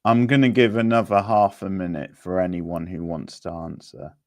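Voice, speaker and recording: A man casually hosting a live quiz, reading out questions, options and scores in a halting way. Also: sits low, steady tone, darkish tone, no echo. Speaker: quiz host.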